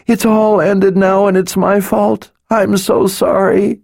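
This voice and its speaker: Sobbing, pleading young woman, pitched way up, read by a male narrator; slow, anguished storybook voice. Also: level tone, vocal fry, a little mellow, no echo, studio quality, full character voice. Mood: pleading sobs. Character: grief-stricken young woman begging forgiveness.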